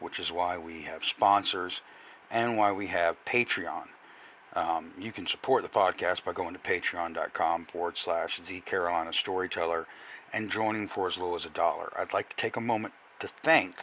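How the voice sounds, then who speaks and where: Deep, bright-voiced male podcast host. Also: highs cut off, slight background noise. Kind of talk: scripted credits and sponsor read. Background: guitar music.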